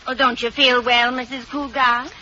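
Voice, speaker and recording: An actress playing a chatty, deadpan housemaid in a 1940s radio sitcom. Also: female voice, on the high side, normal speed, a little hiss or hum. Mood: gossipy. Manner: gossipy, deadpan. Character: chatty older female housemaid Katie. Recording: muffled old radio broadcast.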